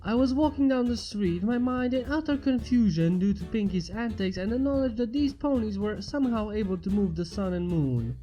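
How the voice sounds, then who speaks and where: A man's voice: high-pitched, animated, moderate pace, hardly any pauses, warm tone, muffled recording. A man reading a first-person fan fiction story aloud as scripted narration. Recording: roomy echo, reverb, music behind. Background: background music.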